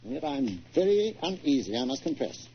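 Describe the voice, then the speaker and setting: An actor playing a worried, blustering titled English art collector with a British accent and gruff, hemming speech, in 1940s radio style. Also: male voice, fairly high pitch, sing-song, brisk. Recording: muffled old 1940s radio recording.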